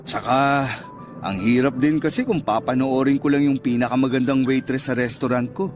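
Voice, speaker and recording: An actor playing a smooth-talking, indulgent restaurant owner courting his lover in a radio drama, casual and coaxing. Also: medium pitch, moderate pace, natural voice. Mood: flirtatious, playful. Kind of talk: Tagalog radio drama dialogue. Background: background music.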